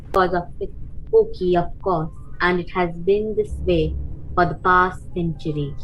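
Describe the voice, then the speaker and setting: A woman playing an obliging but sinister castle servant in a radio play, speaking formally and deliberately. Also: lowish voice, fairly even intonation, lightly acted. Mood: ominously matter-of-fact. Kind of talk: amateur radio play acting. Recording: dull lo-fi sound, music behind. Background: music.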